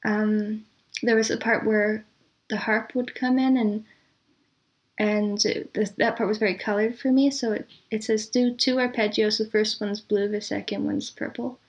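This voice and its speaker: Woman with an even, measured delivery. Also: medium pitch. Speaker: interview guest, composer and audio drama producer. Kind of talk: conversational interview answers.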